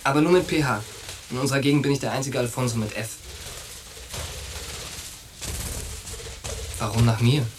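An actor playing a tough, streetwise young soldier, blunt and slangy, in a German radio drama. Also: male voice, medium pitch, rapid-fire, background noise, lightly acted. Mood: frank, casual. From United States, Philadelphia. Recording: studio drama with music bed.

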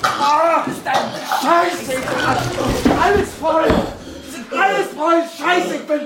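A man's voice: very high-pitched, takes it slowly, bright, forceful tone, heavily acted. An actor playing a well-meaning, easily flustered man in a naturalistic radio drama, hesitant and conciliatory. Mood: disgusted, flustered. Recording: clear background ambience noise.

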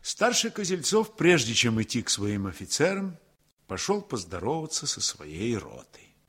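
A man's voice: mid-range voice, wildly swooping pitch, normal speed, fairly bright tone, breathy edge, full character voice. A man narrating a war story in a radio play, solemn, reflective and emphatic, with a declamatory manner. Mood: grave, emphatic.

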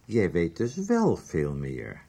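An actor playing a knowing, guarded older man in a radio soap, questioning in a deliberate, probing way. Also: deep voice, huge pitch swings, creaky, warm tone, slightly rough, exaggerated voice. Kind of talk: Dutch radio soap acting.

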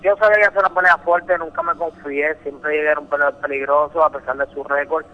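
A man's voice: fairly high pitch, fairly even intonation, fast talker, muffled recording. A young Puerto Rican man speaking Spanish with a Puerto Rican accent, a boxing champion answering a radio interview in an earnest, emphatic way, often repeating words. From Puerto Rico.

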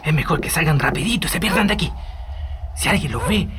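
A nervous Chilean local in a radio drama, played by an actor. The speech is hushed, urgent and colloquial, over a clearly noisy track. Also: medium pitch, lively intonation, fast talker, natural voice. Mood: anxious, urgent. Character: nervous local Chilean helper, hurrying fugitives away. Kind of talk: Spanish-language radio drama acting.

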